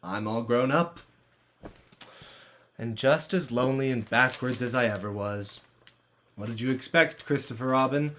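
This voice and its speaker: Man playing a wry, slow-spoken lonely teenage boy. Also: mid-range voice, fairly bright tone. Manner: wry, hesitant, talking to himself. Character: lonely, self-deprecating teenage boy leaving childhood behind. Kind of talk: dramatized stage play reading.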